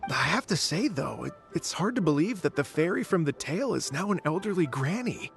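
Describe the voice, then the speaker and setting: Earnest, hesitant, thoughtful musician. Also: male voice, fairly high pitch, sing-song, fairly quick, creaky, over music. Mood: bemused. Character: earnest, courteous foreign male musician, thoughtful. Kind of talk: video game voice acting.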